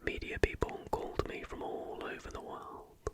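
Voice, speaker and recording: ASMR narrator needed: fully whispered, breathy delivery of scripted factual material in short measured phrases. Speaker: ASMR video host.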